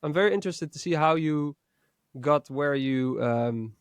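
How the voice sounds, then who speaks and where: A man's voice: on the high side, moderate pace, clean tone, dry recording. A young man co-hosting a podcast over a video call, teasing his friends and rambling with frequent restarts. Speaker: podcast co-host. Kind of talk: casual podcast chat. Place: home studio, indoors. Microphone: studio mic, video call.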